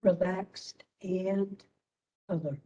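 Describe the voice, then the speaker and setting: A woman instructor leading a guided meditation in a soothing manner, with long pauses between short phrases. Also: on the low side, steady tone, mellow, far from the mic, highs cut off.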